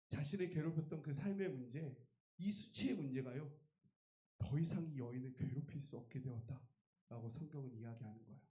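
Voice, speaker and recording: A man's voice: a little high, mellow, echoey room, poor audio. A man preaching a revival sermon as a pastor, emphatic and putting rhetorical questions to his congregation. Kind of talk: Korean church revival sermon.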